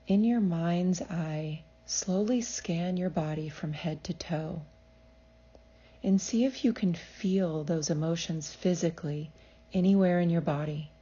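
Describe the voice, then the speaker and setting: A woman leading a scripted guided meditation as a psychologist, speaking in a soothing, measured, gently instructive manner. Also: on the low side, slightly rough, muffled recording.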